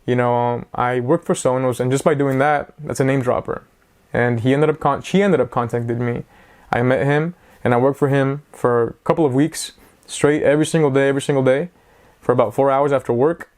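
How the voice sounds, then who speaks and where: A man in his twenties chats at an ordinary middle pitch, wandering off on tangents. He is casual and a little self-mocking, like a vlogger talking straight to his audience. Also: steady tone, fairly quick, a little mellow. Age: 20s. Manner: rambling, self-mocking, chatty. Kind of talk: casual talk to camera.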